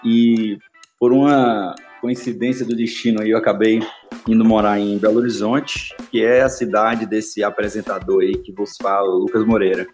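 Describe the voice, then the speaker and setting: A man's voice: lowish voice, level tone, moderate pace, a little mellow, soft background music. A man from Salvador with a Bahian Brazilian accent, a podcast guest telling his story in a friendly, rambling way with many fillers. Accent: Bahian Brazilian Portuguese. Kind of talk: casual storytelling interview.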